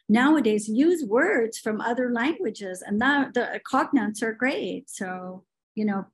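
Middle-aged woman guest, slightly high pitch, forthright and chatty with fillers. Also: husky. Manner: opinionated, storytelling, frequent fillers. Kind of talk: podcast conversation.

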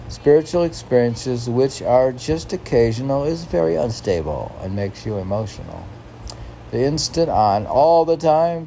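A man reading his own poetry and adding rambling casual asides in a musing, halting manner. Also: mid-range voice, gravelly, warm tone, a little hiss or hum, low-quality recording.

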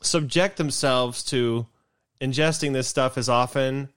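A young man co-hosting a casual comedy podcast in a dry, close-miked sound. He rambles through his jokes with a deadpan edge, trips over his own words and restarts, keeping an easygoing middle pitch. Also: a little slow, smooth, no room sound. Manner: rambling, self-interrupting, dry joking. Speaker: podcast co-host. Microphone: desk mic with cameras.

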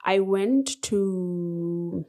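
A young woman in her twenties with a Kenyan accent, a podcast guest chatting casually, soft-spoken with frequent laughs and hesitations. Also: fairly low pitch, very slow. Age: 20s. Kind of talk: casual interview chat.

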